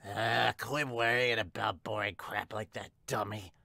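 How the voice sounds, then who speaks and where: A man's voice: lowish voice, fairly even intonation, measured pace, gravelly, sharp tone, dry recording, studio quality, pitched below the performer's own voice, heavily acted. A man voicing a cruel, scheming mouse henchman from a manga, with a sneering, goading delivery. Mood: contemptuous.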